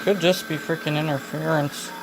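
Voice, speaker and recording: A woman talking casually through a hands-on repair demonstration, halting and thinking aloud as she goes. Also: sits low. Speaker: vintage electronics repair hobbyist. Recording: noisy home video, steady background noise. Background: clear background noise.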